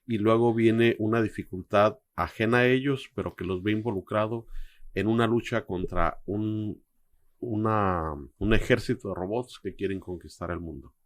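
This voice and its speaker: A man giving reflective film commentary as a co-host, explaining things phrase by phrase in a measured way. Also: on the low side, dry recording.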